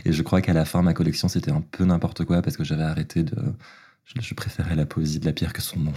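A man's voice: very deep voice, level tone, fairly quick, mellow, slightly rough. A man from Belgium, a drag artist talking as a guest, hesitant with many fillers and easy laughs. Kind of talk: casual interview talk.

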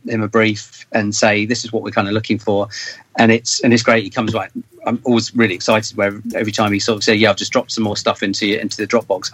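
A man's voice: on the low side, a bit bright. A British man chatting casually as a band member in an interview, rambling and thinking aloud as he goes.

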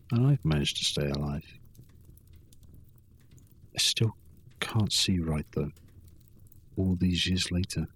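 Man acting a low, husky, weary drunken wanderer. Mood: uneasy. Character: weary drunken old wanderer recounting his bitter youth. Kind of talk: fantasy audio drama monologue.

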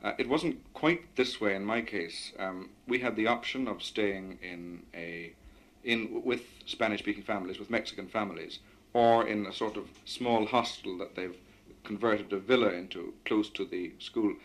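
A man leading a discussion, comparing experiences and asking measured, articulate questions. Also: lowish voice, a bit bright. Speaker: interviewer. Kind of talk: radio discussion.

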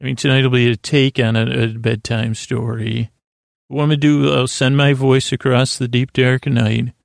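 An American man with a creaky voice hosting a sleep podcast, rambling in a halting, reassuring way as he speaks straight to the listener. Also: medium pitch, little pitch movement, no echo, studio quality. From Syracuse, New York, United States. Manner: meandering, halting, stumbling over words, reassuring. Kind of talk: rambling bedtime monologue, addressing the listener.

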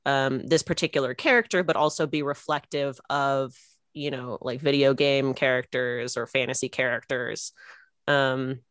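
A woman's voice: rumbling low, fairly bright tone, no room sound, clean studio sound. A woman in her thirties, a filmmaker being interviewed, chatting informally and giggly. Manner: informal, giggly, punctuates talk with small laughs. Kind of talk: casual chat.

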